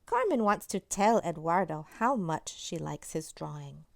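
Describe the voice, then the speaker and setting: A woman's voice: fairly low pitch, measured pace, vocal fry, dry recording, studio quality. A woman, a children's author, reading a picture book aloud expressively, with chatty asides straight to young listeners. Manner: animated, addresses young listeners directly.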